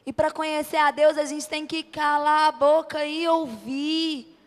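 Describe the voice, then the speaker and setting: A woman with a high voice and a Brazilian accent preaches with passion and emphasis. She leans on rhetorical questions and short repeated phrases. Her voice rings with the long reverb of a large hall. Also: little pitch movement, measured pace. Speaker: preacher, church bishop. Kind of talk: impassioned youth-event sermon. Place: church event before a congregation.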